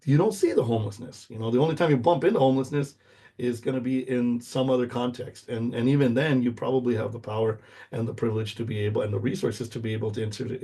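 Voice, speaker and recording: A middle-aged American man, a native Spanish speaker, gives an informal lecture. His voice is dark and relaxed with a husky grain, sitting at a medium pitch. He explains point by point and checks in with the listener as he goes. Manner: explanatory, checks in with "okay?" and "right?".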